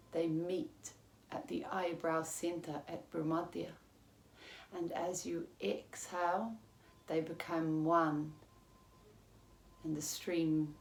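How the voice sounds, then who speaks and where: A woman yoga teacher leading a guided relaxation in a calm, measured, soothing manner with long pauses. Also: lowish voice. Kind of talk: guided meditation, yoga nidra instruction. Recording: roomy echo with faint background noise.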